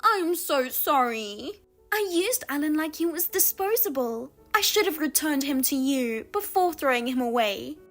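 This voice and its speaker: A woman voicing a spiteful younger sister who steals husbands, with a high, sing-song, mocking manner. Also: animated, faint music behind. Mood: taunting. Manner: mocking, self-satisfied, drawn-out teasing. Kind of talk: narrated drama voice-over.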